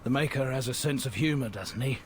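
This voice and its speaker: A man acts out a wounded royal guard on the run in a fantasy video game. He is mid-pitched, earnest and weary, heavy with guilt, with faint noise behind him. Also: a little fast, close to an everyday voice. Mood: rueful. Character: wounded, guilt-ridden fugitive soldier, former royal guard. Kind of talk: dramatic fantasy game acting.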